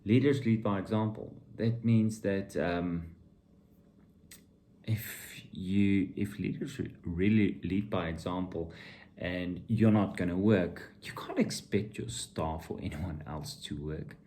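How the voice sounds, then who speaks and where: Deep-voiced, slow, blunt middle-aged man. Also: mellow, slight background noise. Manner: blunt, opinionated, emphatic with repetition. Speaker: vlogger and entrepreneur giving motivational talk. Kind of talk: informal motivational monologue to viewers.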